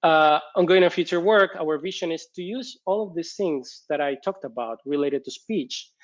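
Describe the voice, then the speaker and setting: Male presenter, Spanish accent, conference talk, somewhat raised pitch, dull lo-fi call sound. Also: normal speed. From Spain. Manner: frequent fillers and restarts while explaining. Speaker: conference speaker.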